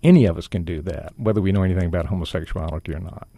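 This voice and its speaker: Deep-voiced earnest man. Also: rapid-fire, vocal fry, mellow, breathy edge, no room sound. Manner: earnest, reassuring. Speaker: ministry guest.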